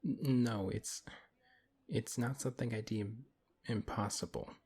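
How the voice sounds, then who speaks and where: A man playing a big, principled, gently protective paladin in a fantasy audio roleplay, speaking in a measured, earnest way with hesitant pauses and a husky voice. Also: on the low side. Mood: serious, questioning. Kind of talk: fantasy audio roleplay, one-sided intimate conversation.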